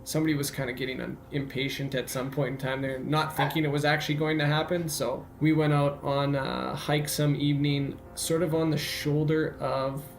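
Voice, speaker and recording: Echoey Canadian man, measured and hesitant. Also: on the high side, flat delivery, creaky. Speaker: park interpreter, interview guest. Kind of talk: casual recollection. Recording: echoey room, guitar music behind.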